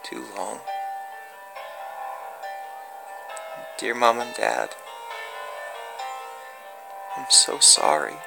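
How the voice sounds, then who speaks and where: A man's voice: mid-range voice, huge pitch swings, slow, vocal fry, pitched above the performer's own voice, heavily acted. A man playing a melancholy, tormented dead puppeteer in a creepy horror story reading, with brooding theatrical delivery. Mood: despairing. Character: creepy undead puppeteer, melancholy tormented young man's ghost. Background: music.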